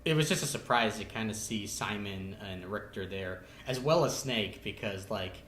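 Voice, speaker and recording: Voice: low voice, moderate pace, a bit bright. A podcast guest chatting casually and enthusiastically about games in a rambling, filler-heavy way. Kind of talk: casual chat. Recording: echoey room, faint background noise.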